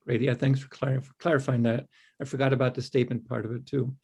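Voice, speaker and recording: A man at a mid-range pitch chairs an online city board meeting. He welcomes people and asks questions in a loose, friendly way, full of "um"s and restarts. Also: flat delivery, quick pace, creaky, a little mellow, husky. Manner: friendly, rambling, filler-heavy.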